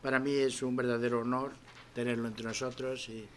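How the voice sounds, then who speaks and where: A man's voice: mid-range voice, flat delivery, brisk, slight background noise. A man giving an admiring formal introduction of a guest speaker at a university event, with a few restarts. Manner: warm, admiring, with small restarts and fillers.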